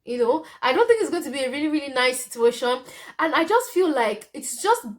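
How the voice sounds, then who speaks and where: A woman's voice: high voice, very animated, a little fast. A young Nigerian woman whose first language is Yoruba, chatting casually to her viewers and often checking in with "okay?". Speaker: vlogger. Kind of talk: casual storytelling to camera.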